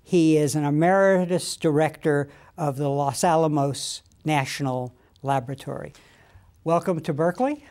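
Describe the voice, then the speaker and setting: A man's voice: a little high, normal speed, a little mellow. Older male host asking formal questions. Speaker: interview host. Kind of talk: studio interview. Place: TV studio. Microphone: lapel.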